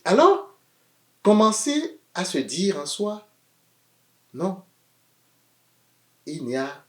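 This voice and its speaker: A man preaching a sermon in an animated way, swinging between loud emphatic phrases and quiet asides, often repeating words as he searches for them. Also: a little high, echoey room.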